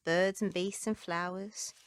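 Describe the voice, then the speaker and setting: A woman's voice: lowish voice, fairly even intonation, a little slow, background noise. A woman reading children's storybooks and nursery rhymes aloud as a narrator. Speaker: children's book reader.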